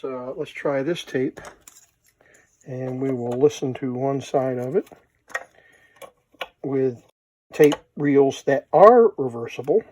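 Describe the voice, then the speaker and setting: A man's voice: mid-range voice, dark tone. Casual man demonstrating, thinking aloud. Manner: unscripted, thinking aloud while working. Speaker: vintage tape recorder hobbyist, presenter.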